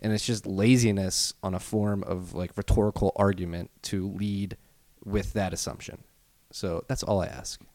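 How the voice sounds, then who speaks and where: A man's voice: deep voice, fairly even intonation, darkish tone, no echo. A man with a Philadelphia accent, co-hosting a casual podcast, chiming in often and ranting with strong opinions. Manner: quick agreeing interjections, opinionated rants. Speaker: podcast co-host.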